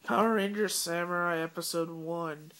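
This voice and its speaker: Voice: mid-range voice, fairly even intonation, normal speed, a bit bright. A young fan reviewer talks through a cold, with coughs breaking in. He rambles casually and fills the gaps with "uh" and "like". His tone stays disappointed the whole way. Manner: rambling, disappointed, many fillers. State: sick, coughing.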